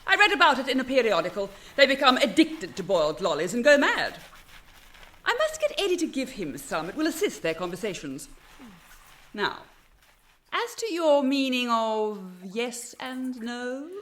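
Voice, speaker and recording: A woman's voice: fairly high pitch, sing-song, moderate pace, a bit bright. An actress playing a haughty, brittle, respectable 19th-century lady in a period radio drama, speaking precisely and archly. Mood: dry, bitter. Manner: imperious, precise, arch, theatrical.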